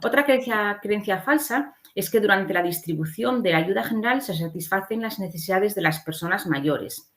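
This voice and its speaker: A woman's voice: medium pitch, lively intonation, moderate pace, fairly bright tone. A woman from giving an explanatory slide lecture as a conference speaker, often adding short checking tags.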